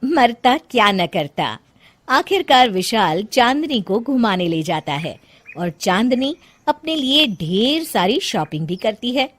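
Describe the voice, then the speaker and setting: A woman storyteller with a high, animated voice. She reads scripted narration for a comic tale, moving her pitch freely. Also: takes it slowly, no echo.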